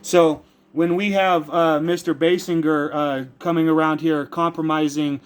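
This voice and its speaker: An American man speaking as a caller with a complaint, earnest and rambling, halting as he searches for formal words and often repeats himself. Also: a little high, level tone, clean tone. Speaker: caller making a complaint to a public agency.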